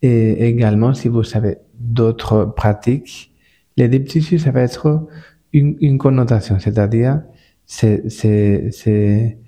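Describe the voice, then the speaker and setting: A man with a Spanish-speaking accent, a spa manager speaking French as a guest on a casual podcast, halting and full of repeated words and restarts. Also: medium pitch, mellow.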